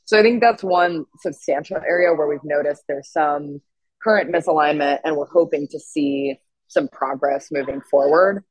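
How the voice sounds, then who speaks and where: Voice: mid-range voice, normal speed, no echo, poor audio. A tenant organizer with an androgynous-sounding voice giving interview remarks.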